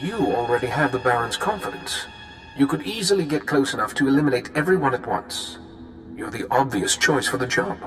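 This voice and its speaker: A man's voice: medium pitch, lively intonation, a little fast, music in the background, lightly acted. A man playing a suave noble assassin in a sci-fi game, dryly polite and menacing. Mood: coolly menacing. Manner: dry, genteel, understated threats. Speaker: video game voice actor. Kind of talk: dramatic game cutscene dialogue.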